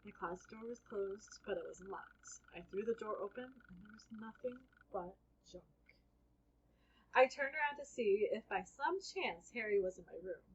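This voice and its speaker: A woman reading a children's book aloud as an expressive first-person storyteller. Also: medium pitch, moderate pace, breathy edge, dull audio.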